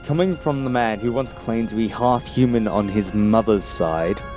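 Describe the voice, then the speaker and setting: Stern intelligence operative male actor: fairly low, dry, impatient with nonsense, audio drama with music behind. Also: normal speed, darkish tone, lightly acted. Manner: curt, sceptical, dry. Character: stern, blunt, haughty Time Lord intelligence agent. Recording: music under the voice.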